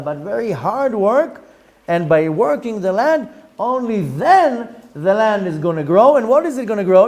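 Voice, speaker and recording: Israeli rabbi guy, fairly high voice, animated, reads hebrew fast then explains in english. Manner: animated, rhetorical questions, switches between Hebrew reading and English. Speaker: rabbi teaching Zohar. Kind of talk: Torah lesson, reading Hebrew text and explaining in English.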